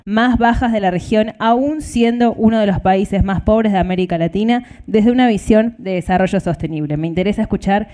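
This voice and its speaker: A woman moderating an event, formally introducing the next speaker and reading slowly and clearly. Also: mid-range voice, warm tone.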